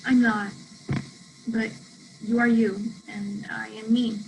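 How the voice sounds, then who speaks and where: A woman acts out a guarded female international student in a stage drama reading. Her voice is somewhat high, with self-doubt under a tough front. Faint noise sits on the recording. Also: little pitch movement, slow, creaky, a little mellow, low-quality recording. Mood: guarded, conflicted. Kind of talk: virtual staged play reading. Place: remote virtual reading over video call.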